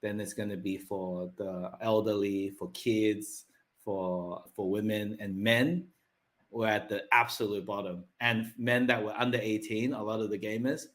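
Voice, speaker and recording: A man's voice: fairly low pitch, steady tone, measured pace, fairly bright tone. A man, talking loosely as a podcast guest and often checking in with tag questions. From Australia. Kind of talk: casual interview talk.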